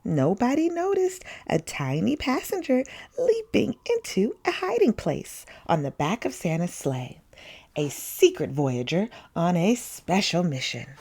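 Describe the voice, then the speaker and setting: A woman narrates a children's picture book as a story time reader. Her pitch moves in lively swings, and she takes her time, leaning dramatically on key words. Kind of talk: expressive children's picture-book read-aloud.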